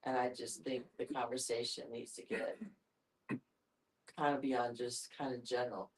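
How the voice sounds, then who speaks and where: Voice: mid-range voice, flat delivery, moderate pace. An older psychologist thinking aloud in a reflective group discussion, hedging along the way. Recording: distant microphone.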